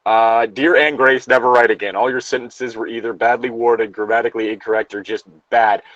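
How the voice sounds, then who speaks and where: A man's voice: mid-range voice, steady tone, fairly quick, fairly bright tone, no echo, lo-fi sound. A man giving incredulous, opinionated commentary in a casual, hesitant way, sometimes reading aloud. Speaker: co-host reacting to fan fiction and its reviews.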